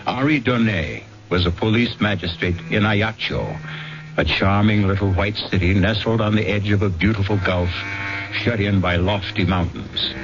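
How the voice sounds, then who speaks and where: An actor playing a grave, ominous storyteller, narrating an old-time radio mystery in a deliberate, suspenseful way. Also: male voice, fairly low pitch, dull audio, natural voice. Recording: band-limited old radio, music behind.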